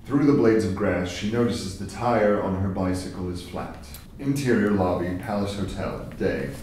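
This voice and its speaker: Male stage-directions reader, deep voice, scripted narration, distant mic with faint background noise. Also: normal speed, darkish tone, very echoey. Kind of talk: scripted screenplay narration at table reading. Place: large echoey room, live table reading.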